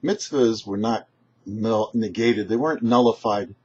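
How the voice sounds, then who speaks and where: A man, a rabbi hosting a short religious teaching, speaking emphatically and deliberately with dramatic pauses. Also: on the low side, darkish tone, breathy edge. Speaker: rabbi, show host. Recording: muffled, dull lo-fi sound.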